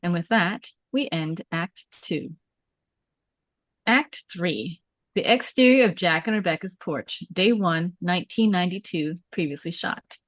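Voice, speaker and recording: Casting: female stage-directions narrator, lowish voice, clipped storytelling phrases, close dry recording. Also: animated, measured pace, no echo. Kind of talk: scripted narration of screenplay directions.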